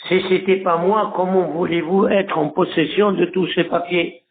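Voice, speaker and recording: A man's voice: sits high, steady tone, normal speed, slight background noise. An older man talking on a phone call as a customer, wary and insistent. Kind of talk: phone conversation. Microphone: telephone call. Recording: dull lo-fi phone call audio.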